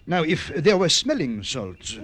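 An actor playing a smooth-talking young suitor, flowery and gushing, in 1940s radio-acting style. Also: male voice, on the high side, very animated, a little slow, fairly bright tone. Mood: gallant. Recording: old radio recording with faint hiss.

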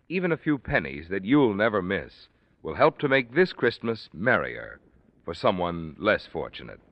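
Animated 1940s male radio announcer. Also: medium pitch. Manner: polished, emphatic salesman delivery. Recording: dull, dry vintage radio recording. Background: music.

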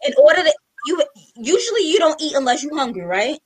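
Woman, 38, talk show co-host; emphatic, playful, fairly high pitch. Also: a little fast. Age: 30s. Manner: animated, joking, emphatic. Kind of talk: casual talk show chat.

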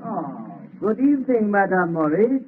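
A man's voice: high-pitched, big pitch swings, a little slow. A man playing a mild, reassuring older professor, formal and courteous, in old radio drama style. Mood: genial. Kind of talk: 1930s radio drama acting. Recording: tinny, scratchy old radio broadcast.

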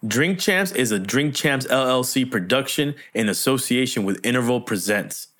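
A man who hosts the show reading the outro credits in a steady, even manner. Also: mid-range voice, normal speed. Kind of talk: scripted outro read.

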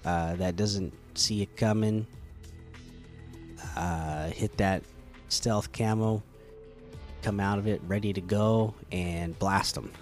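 A man hosting a casual gaming podcast, chatty and relaxed with lots of fillers, slightly hoarse from a cold. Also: on the low side, takes it slowly, pauses often, soft background music. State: catching a cold, losing voice. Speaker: podcast host.

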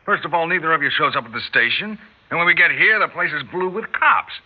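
Worried suburban husband played by a man, American, highish husky voice, rapid, tinny old radio recording. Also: close to an everyday voice. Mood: alarmed. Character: worried, flustered suburban husband home from work. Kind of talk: old-time radio drama acting. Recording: tinny narrow-band vintage radio recording.

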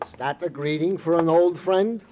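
A man's voice: fairly high pitch, normal speed, warm tone, a little hiss or hum, close to an everyday voice. A man playing a fussy, easily wounded police clerk in a vintage radio drama, pompous, plaintive and flowery in his wording. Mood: hurt, reproachful. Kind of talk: 1950s American radio crime drama acting. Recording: muffled old radio recording.

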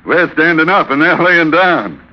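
An actor playing a cocky, jovial American first mate in a breezy, slangy 1940s radio adventure style. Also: male voice, fairly high pitch, animated, normal speed, close to an everyday voice. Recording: muffled, noisy old radio broadcast.

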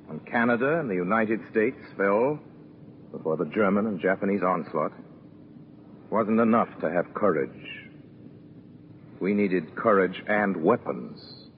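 Grave wartime narrator, very low voice, oratorical, tinny vintage radio sound. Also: male voice, animated, unhurried, long pauses. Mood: grave, foreboding. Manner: solemn, rhetorical. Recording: tinny, noisy 1940s radio recording.

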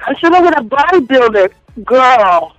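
A woman's voice: high-pitched, very animated, normal speed, soft background music. A young woman from Cuba with a Spanish accent, talking frankly and giggling as a phone-in guest on a casual radio interview. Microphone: telephone. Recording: dull lo-fi phone line.

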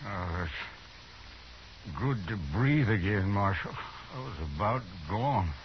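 An actor playing a shaken, weary man in a 1950s radio Western, halting and rueful. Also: fairly low pitch, expressive. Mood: relieved, weak. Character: shaken, weary male survivor of a hanging. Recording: noisy, hissy, dull 1950s radio recording.

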